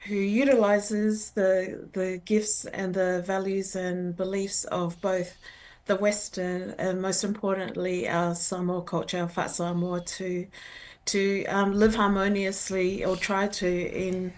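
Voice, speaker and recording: An older woman from New Zealand, an educator and researcher, answering interview questions in a halting, earnest way. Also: mid-range voice, flat delivery, darkish tone. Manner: halting, many fillers and restarts, earnest.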